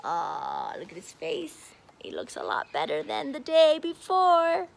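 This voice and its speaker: Slow-paced, high-voiced woman teaching children. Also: huge pitch swings, gravelly. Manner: sing-song, encouraging, teacherly. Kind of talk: animated children's read-aloud and counting lesson.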